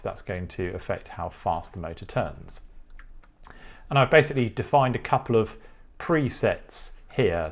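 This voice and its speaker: A man presenting a technical tutorial, explaining step by step in a methodical way. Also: lowish voice. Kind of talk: explanatory programming tutorial, semi-scripted walkthrough.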